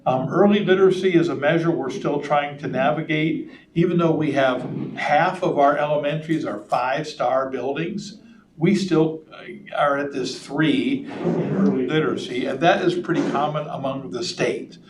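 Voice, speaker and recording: Slightly hoarse man in an echoey room. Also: on the high side, moderate pace, noisy background. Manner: conversational, with frequent ums and uhs. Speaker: school superintendent. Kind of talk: presenting a report at a board meeting. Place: public meeting room. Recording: roomy meeting-room audio from a distant mic.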